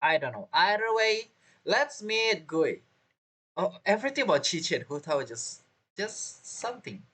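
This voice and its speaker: Low-voiced, animated woman streamer. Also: moderate pace, breathy edge. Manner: rambling, reacting aloud, giggly. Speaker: game streamer. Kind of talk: casual let's-play commentary.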